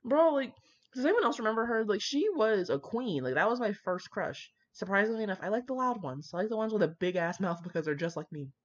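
Young woman rambling casually, sweary and self-mocking, really up and down pitch, kinda dull muffled mic. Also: animated, a little fast. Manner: irreverent, foul-mouthed, self-mocking. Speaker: host of a fan fiction reading channel. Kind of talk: casual rambling chat to viewers.

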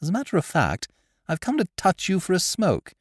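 A man voicing a breezy, chummy young gentleman of leisure who frets easily. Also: high voice, wildly swooping pitch, brisk, no room sound, studio quality, pitched above the performer's own voice, heavily acted. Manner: breezy, chummy upper-class banter.

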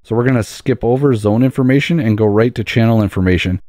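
A man narrating a step-by-step software tutorial in an explanatory manner, repeating key points for emphasis. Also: mid-range voice, fast talker, no room sound, clean studio sound. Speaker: tutorial presenter.

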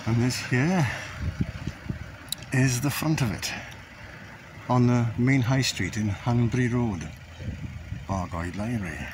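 A man casually narrating a walking tour, pausing with "uh" as he points things out, recorded on a handheld phone. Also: medium pitch. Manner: unhurried, pauses with "uh" while pointing things out. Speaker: video tour guide. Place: walking through a library and along a high street. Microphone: handheld phone camera. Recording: noisy phone recording with room ambience.

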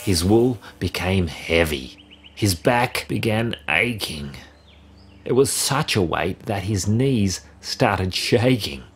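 A man narrating a rhyming children's story in an expressive, measured storytelling style. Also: lowish voice, breathy edge. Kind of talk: scripted rhyming children's story read-aloud.

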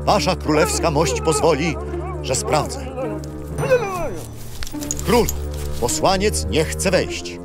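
Royal camp servant, formal, eager to please, theatrical, very animated pitch. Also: male voice, slow, long pauses, loud music behind the voice. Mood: deferential, urgent. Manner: formal, obsequious, dramatic. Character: deferential royal attendant in a war camp. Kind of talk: children's radio play acting.

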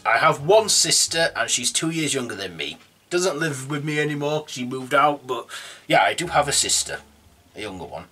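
A man's voice: on the high side, bright, forceful tone, breathy edge. Rambling young man. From Yorkshire, England. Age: 20s. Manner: rambling, with restarts, reading questions aloud. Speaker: YouTuber. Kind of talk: casual tag video. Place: room at home, indoors.

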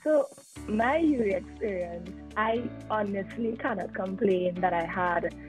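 A woman's voice: mid-range voice, a little slow, a little mellow. A woman, a postgraduate student talking about herself on a video-call panel. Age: 20s. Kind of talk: self-introduction on a panel. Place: indoor room, video call. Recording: dull video-call audio, faint music.

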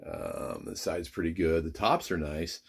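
Male presenter, deep voice, easygoing and a bit hesitant, informal hands-on product walkthrough. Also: unhurried, creaky, breathy edge. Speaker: presenter demonstrating vintage radio gear. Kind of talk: casual unscripted product demonstration. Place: indoors, radio workbench.

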